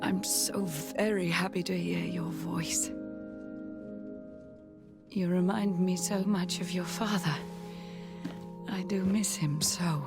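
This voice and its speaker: A woman's voice: fairly low pitch, steady tone, slow pace, vocal fry, long pauses. An actress playing a frail, tender, dying noble mother in a video game, weary and sighing. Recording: loud music behind the voice.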